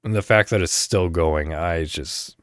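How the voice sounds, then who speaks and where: A man's voice: low voice, measured pace, fairly bright tone, dry recording, clean studio sound. A man hosting a casual solo podcast, rambling as he thinks aloud and swearing offhandedly. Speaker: podcast host.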